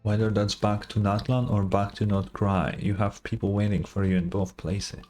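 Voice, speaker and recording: A man's voice: fairly low pitch, fairly even intonation, normal speed, a little mellow. A man giving casual reaction commentary as a gaming streamer, informal, wry and sweary.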